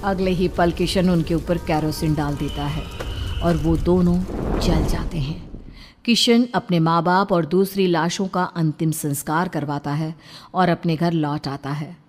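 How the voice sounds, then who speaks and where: Female narrator for horror cartoon stories, a little low in pitch, dramatic storytelling over soft music. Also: steady tone, a little slow, big-room sound.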